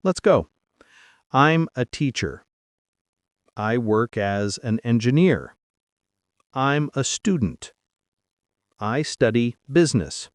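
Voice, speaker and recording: A man hosting an English-learning podcast, speaking upbeat and clearly, slowly spelling out phrases for listeners to repeat. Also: mid-range voice, dry recording. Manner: upbeat, clear, slow and deliberate for repetition. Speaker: podcast host and English teacher. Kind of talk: scripted English lesson.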